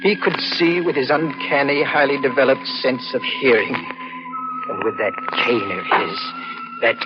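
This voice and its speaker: Haunted young man, wildly swinging pitch. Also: wildly swooping pitch, measured pace. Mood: brooding narration. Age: 20s. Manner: intense, melodramatic. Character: haunted, tormented young man confessing a murder plot. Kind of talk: 1940s radio drama acting. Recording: muffled old radio broadcast, loud music.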